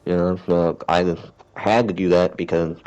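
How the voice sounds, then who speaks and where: A soft-spoken man with a deep, gravelly voice, chatting in a rambling, hesitant way full of fillers and restarts. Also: flat delivery, normal speed, low-quality recording. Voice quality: deep, gravelly voice kept raised. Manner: soft-spoken, self-described shy. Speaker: gamer chatting on stream. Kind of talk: casual chat.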